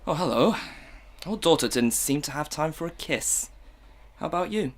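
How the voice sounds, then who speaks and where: A woman playing a gruff blustering husband. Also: low voice, lively intonation, normal speed. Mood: easygoing. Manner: blustering, demanding answers. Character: anxious middle-aged husband and father. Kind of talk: student radio drama acting.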